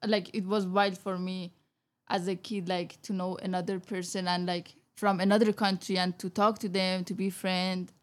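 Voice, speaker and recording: A woman's voice: lowish voice, flat delivery, moderate pace. A young woman in a casual group conversation, speaking plainly and briefly.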